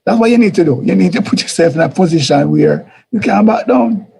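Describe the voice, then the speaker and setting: A man with a Jamaican accent teaches a church group in a loose, conversational way, repeating key words and slipping into little quoted voices. His voice sits a bit high and has a gravelly edge. A faint hum of room ambience hangs behind him. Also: animated, brisk, dark tone. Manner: conversational, repetitive, chuckles, acts out quoted voices. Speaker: teacher of a church life series. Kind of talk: informal teaching talk.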